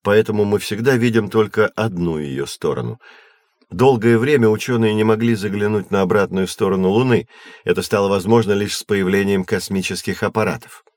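An actor plays a knowledgeable man guiding children through astronomy in an audio drama. His voice sits fairly low and is recorded very dry. He explains things patiently, in measured, reassuring phrases. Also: darkish tone, no room sound, lightly acted. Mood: explanatory, patient. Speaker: teacher-guide in educational audio drama.

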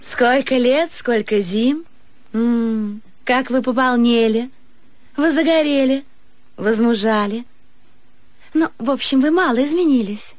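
Nervous young gentlewoman, slow, dry. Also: on the high side, dry recording. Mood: bright greeting. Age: young adult. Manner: earnest, hesitant, emotional. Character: nervous, yearning young gentlewoman, earnest and eager. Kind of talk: Russian radio literary drama.

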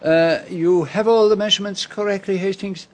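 A man's voice: high voice, steady tone, moderate pace, natural voice. A man plays a middle-aged Belgian detective in a radio drama. He speaks with a French-speaking Belgian accent in precise, formal phrases, courteous and shrewd, with a theatrical flourish. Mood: suave, polite.

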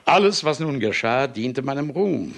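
A grandiose, cynical older man of letters in a radio drama. His voice is husky and gravelly, swinging widely in pitch as he declaims with boastful relish. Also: expressive, moderate pace. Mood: boastful, commanding. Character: boastful ageing famous male writer confessing to murders. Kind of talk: theatrical German radio play monologue.

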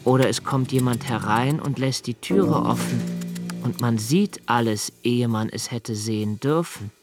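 Male actor as melancholy diarist, slow halting delivery, intimate, pensive, mid-pitched, literary radio play monologue. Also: loud music behind the voice, close to an everyday voice. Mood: reflective, wry. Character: reflective, melancholy male diarist recalling love and childhood.

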